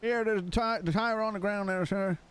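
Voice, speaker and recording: A hoarse young man, chatting casually and laughing as he streams a roleplay game. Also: high-pitched, fairly quick, fairly bright tone. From Liverpool, England. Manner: chatty, laughs often, calls people brother. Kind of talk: casual live-stream chat.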